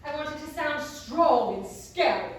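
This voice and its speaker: A woman's voice: high voice, animated, unhurried, fairly bright tone. An actress playing a skeptical, teasing woman who humours her friend with dry exasperation in comic stage dialogue. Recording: roomy echo, distant mic, faint noise.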